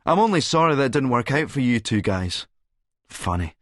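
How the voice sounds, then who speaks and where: A man playing a cocky, scheming Scottish hustler who talks smoothly and mockingly, with a theatrical delivery, in an audiobook. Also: medium pitch, big pitch swings, normal speed, a bit bright, dry recording, studio quality, voice pushed up. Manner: taunting, theatrical.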